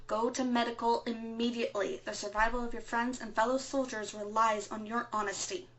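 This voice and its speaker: A woman playing a composed, earnest resistance radio broadcaster in a scripted audio drama, speaking in a measured, solemn way. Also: fairly high pitch, flat delivery, fairly bright tone, dull audio, natural voice. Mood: firm, instructive. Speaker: radio show host.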